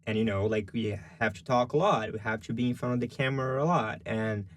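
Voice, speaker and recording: A young man with a Brazilian Portuguese accent speaks in a low voice, chatting loosely in an interview. He fills his answers with "like" and "you know". The sound is close and dry. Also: a little fast, no room sound. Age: young adult. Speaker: guest, journalism student.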